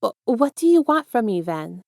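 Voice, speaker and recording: A woman's voice: fairly high pitch, big pitch swings, normal speed, creaky, darkish tone, no echo, studio quality, pitched above the performer's own voice. A woman voicing a startled, anxious homeless teenage boy who stammers and blurts in disbelief, in an audio story reading. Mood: wary, nervous.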